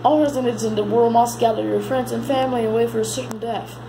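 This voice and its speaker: A woman reads a mock emergency broadcast as an amateur announcer, halting and repeating words as she stumbles through the script. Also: on the high side, fairly even intonation. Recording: noisy amateur home recording.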